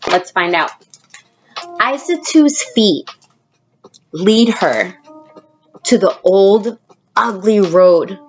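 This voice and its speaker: A woman teacher reading a picture book aloud expressively, pausing to prompt young listeners with questions. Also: mid-range voice, lo-fi sound. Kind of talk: storybook read-aloud with questions to children.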